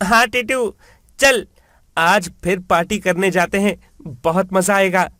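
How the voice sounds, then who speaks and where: A man's voice: sits high, slow pace, sharp tone, no echo, clean studio sound, pitched above the performer's own voice, heavily acted. A man voicing a spoiled, greedy grown son, brash and dismissive, in a storybook tale. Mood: carefree.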